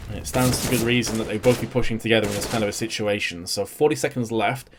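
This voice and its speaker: A man's voice: fairly low pitch, fast talker, background noise. A young man casting a live esports match, his energy rising and falling with the action. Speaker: esports caster. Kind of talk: live play-by-play commentary.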